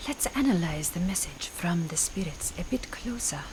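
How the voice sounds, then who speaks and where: A woman playing a mysterious, solemn spirit medium in a radio drama, with a grave, breathy half-whisper. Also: on the low side, animated, moderate pace, a little mellow, lightly acted. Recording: faint noise, rain sound effects.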